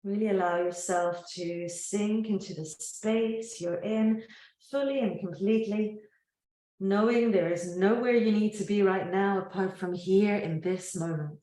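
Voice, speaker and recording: Woman guiding relaxation in an echoey room. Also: medium pitch. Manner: gentle, unhurried, soothing, with long pauses. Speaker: yoga nidra teacher. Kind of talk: guided relaxation meditation.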